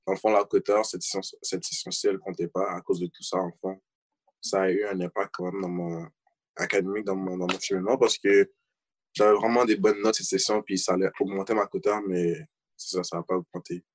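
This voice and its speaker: Low, flat young Québécois man. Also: a little fast, dry recording. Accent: Québécois French. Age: young adult. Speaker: student guest. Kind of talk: casual interview over video call. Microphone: video call.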